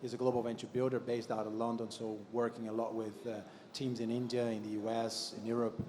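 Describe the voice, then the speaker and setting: A man's voice: medium pitch, level tone, fairly quick, darkish tone, slight background noise. A man from Brazil with a Brazilian accent, speaking professionally as a panelist on stage. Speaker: panelist, AI consultant. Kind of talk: professional self-introduction on a panel. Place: stage at a conference. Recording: audible crowd in event hall.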